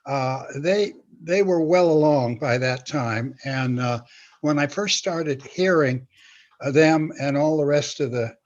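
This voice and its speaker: An elderly man in his eighties with a fairly high voice reminisces as an interview guest. He speaks haltingly and rambles, repeating words as he goes. The sound is a little dull and lo-fi. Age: 80s. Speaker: guest, veteran script writer.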